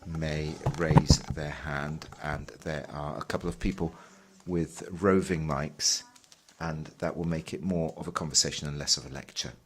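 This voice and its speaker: A man working as a simultaneous interpreter, relaying a panel talk in a measured way, pausing with fillers as he keeps up. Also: very deep voice, steady tone, background noise. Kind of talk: live conference interpretation.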